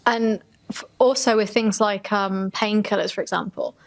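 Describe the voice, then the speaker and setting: A woman's voice: medium pitch, moderate pace, vocal fry. Woman in casual podcast chat. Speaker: podcast co-host.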